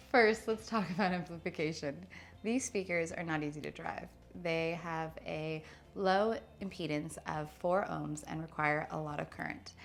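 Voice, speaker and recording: A woman in her thirties with a fairly low voice and plenty of vocal fry. She presents a product review to camera, talking conversationally and leaning on key words for emphasis. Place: indoor showroom with wood-slat wall.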